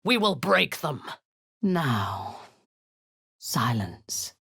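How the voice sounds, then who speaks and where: A woman's voice: sits low, big pitch swings, very slow, creaky, a bit bright, slightly rough, no echo, studio quality, full character voice. A woman voicing a cruel, zealous drow warlord in a video game, giving cold commands that often sink into a menacing whisper.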